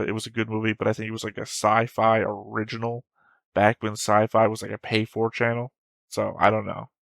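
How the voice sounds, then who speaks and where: Low-voiced man musing over his notes. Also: a bit bright, no room sound. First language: English. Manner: rambling, self-correcting, thinking aloud. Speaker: anime reaction channel host. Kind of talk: reading his pre-episode notes and theories.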